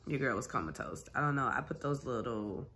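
A woman's voice: rumbling low, brisk. Chatty woman vlogging from her armchair. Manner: candid, animated storytelling with laughs. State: recovering from a sinus infection, tired. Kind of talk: casual chatty vlog. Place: small room at home, seated in armchair. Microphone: phone camera at arm's length.

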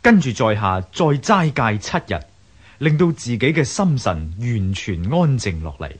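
A man acting a humble, earnest woodcarver of ancient China in a radio drama, husky, measured and deferential, with a Hong Kong Cantonese accent. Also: mid-range voice, sing-song.

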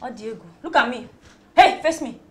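A woman with a Nigerian Pidgin accent playing a loud, bossy housemaid who barks orders at the children. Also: fairly high pitch, lively intonation, normal speed, very echoey, distant mic, close to an everyday voice. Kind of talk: comedy skit.

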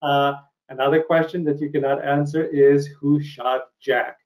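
A man's voice: fairly high pitch, little pitch movement, moderate pace, darkish tone. Man hosting a video call interview. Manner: hesitant, many fillers and restarts. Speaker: host. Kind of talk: interview questions. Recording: slightly dull video call audio.

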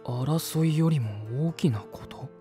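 A man's voice: mid-range voice, slow, mellow, faint music behind, close to an everyday voice. An actor playing an earnest, peace-loving, uneasy man, polite and reflective, in a voice drama. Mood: puzzled. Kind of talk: voice drama dialogue and inner monologue.

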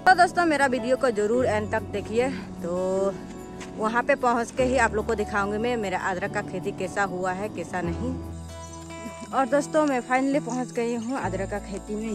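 A woman in her thirties with a Northeast Indian accent, chatting casually to her viewers as she films herself outdoors on a phone. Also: medium pitch. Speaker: vlogger. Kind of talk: casual vlog narration to viewers. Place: outdoors in a rural village. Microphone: phone at arm's length.

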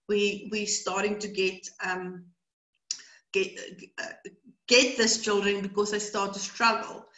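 A woman's voice: on the high side, sharp tone, reverberant, rough recording. A middle-aged South African woman whose first language is Afrikaans, presenting a webinar over a video call and talking in a rambling way with many fillers and repeated words. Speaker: brain training educator. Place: small room indoors. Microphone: video call webcam.

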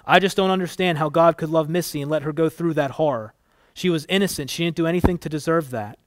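A man's voice: high-pitched, expressive, unhurried, bright, forceful tone, clear, resonant tone, no echo, clean studio sound, pitched above the performer's own voice, full character voice. A man playing an anguished, grieving father in a story reading, emotional and pleading or defiant. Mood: bitter, grieving. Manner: emotional, beseeching.

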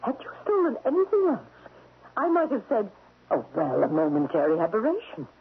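An actress playing an imperious, wealthy widow in a radio drama, sharp and unyielding, with precise, haughty, formal diction. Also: female voice, mid-range voice, huge pitch swings, a little fast, creaky, slightly rough, exaggerated voice. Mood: haughty, stern. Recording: muffled lo-fi old radio broadcast.

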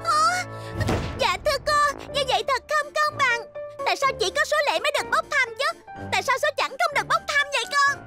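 A woman's voice: very high-pitched, animated, a little fast, music in the background. A woman voicing a prim, fussy, haughty little schoolgirl in a cartoon dub, with a complaining manner. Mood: indignant. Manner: prissy, complaining.

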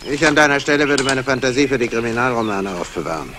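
A man's voice: mid-range voice, fast talker, close to an everyday voice. Man playing a peevish, grumbling fugitive. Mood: uneasy, defiant. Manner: peevish, complaining. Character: grumbling, irritable male fugitive in hiding. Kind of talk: 1960s German radio play acting. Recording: noisy old radio play recording.

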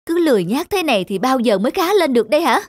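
A woman's voice: high-pitched, very animated, very fast, darkish tone, no room sound, studio quality. A woman playing a hard-working, nagging village wife in a folk-tale cartoon, scolding and urging. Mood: exasperated. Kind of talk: animated folk-tale acting.

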